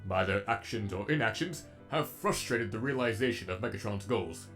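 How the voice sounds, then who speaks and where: A man's voice: on the low side, normal speed, a bit bright, soft background music. A man voicing a sadistic, erudite robot villain with an eloquent, menacingly polite delivery, as in a comic dub. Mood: mocking, menacing.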